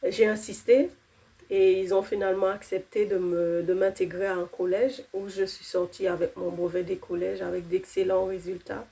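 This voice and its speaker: Woman, 30s, non-native French speaker, personal faith testimony, earnest, mellow dark tone, halting restarts. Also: medium pitch, level tone, gravelly. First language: not French.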